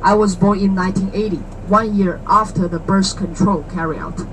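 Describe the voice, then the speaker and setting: A woman's voice: mid-range voice, steady tone, moderate pace, low-quality recording. Chatty Chinese-accented woman guide, street traffic. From China. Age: 30s. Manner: chatty and explanatory, asks listeners questions, jokes. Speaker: tour guide. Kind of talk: explaining to visitors on a walking tour. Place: outdoors on a city street.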